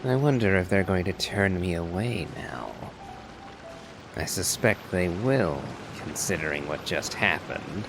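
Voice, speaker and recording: Deep-voiced, unhurried male gamer. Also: animated, creaky. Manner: musing, measured, wry. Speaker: gamer playing and voicing reactions. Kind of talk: low-key playthrough commentary. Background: game music.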